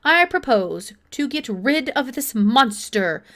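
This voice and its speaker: A woman voicing a pompous, blustering fat male senator in grandiose, forceful oratory for a children's audiobook. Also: on the high side, very animated, slow pace, heavily acted.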